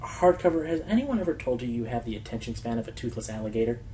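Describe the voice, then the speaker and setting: Man playing an exasperated pony radio host, lively delivery. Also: medium pitch, quick pace, a little hiss or hum, close to an everyday voice. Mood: frustrated. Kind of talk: radio play acting, announcer delivery.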